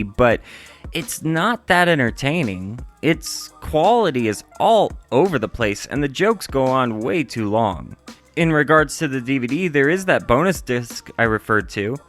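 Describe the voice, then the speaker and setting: Animated male reviewer, light music underneath. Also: medium pitch, moderate pace. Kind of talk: scripted review narration.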